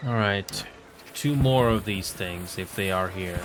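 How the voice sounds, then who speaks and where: Low-voiced Finnish-born man on a noisy stream. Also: a little slow. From Finland. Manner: rambling, repeats himself, mutters at the game. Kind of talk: casual live-stream chat while gaming. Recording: noisy background.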